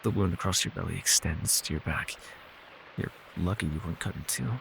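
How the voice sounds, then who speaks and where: A low-voiced, gravelly elf healer, acted by a man, ancient and weary yet gentle. He murmurs in measured, old-fashioned phrases with a wry, teasing edge, as in an intimate fantasy ASMR roleplay, over faint background ambience. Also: slight background noise, exaggerated voice, poor audio. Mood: wry, chiding. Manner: measured, formal archaic phrasing, soothing.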